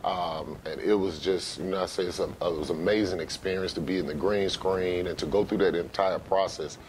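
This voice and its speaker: A middle-aged American man giving casual interview answers as a guest, with halting, conversational phrasing. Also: steady tone, darkish tone, poor audio. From Memphis, United States. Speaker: interview guest, sports arena announcer. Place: living room, seated on couch. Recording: faint low background noise.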